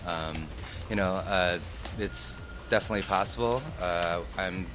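A man's voice: deep voice, a little slow. A young man from Detroit, a guest DJ, chatting casually in an interview, hesitant and full of fillers. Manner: hesitant, filler-heavy, laid-back. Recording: music playing underneath.

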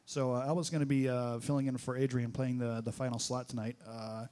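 A man's voice: medium pitch, level tone, a little fast, mellow. A man hosting a casual radio show in a small studio, joking, laughing often and stumbling over his words.

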